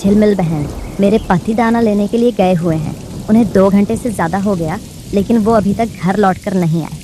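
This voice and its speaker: A woman voicing a caring, anxious mother sparrow in a bird cartoon, gentle and concerned. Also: medium pitch, normal speed, lightly acted. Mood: worried. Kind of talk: Hindi bird cartoon voice acting. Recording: noisy, rain and thunder behind.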